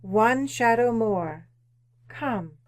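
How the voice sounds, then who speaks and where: A woman playing a solemn, unyielding spirit of the past, speaking deliberately and commandingly in radio drama style. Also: fairly high pitch, lively intonation, over music. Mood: solemn, insistent. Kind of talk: old-time radio drama acting.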